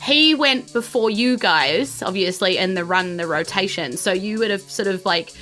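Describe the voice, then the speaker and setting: A woman's voice: medium pitch, moderate pace, sharp tone, soft background music. A woman improvising narration as game master in a casual, chatty way, often hedging as she goes. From New Zealand. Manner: casual, hedging with frequent "like" and "you know".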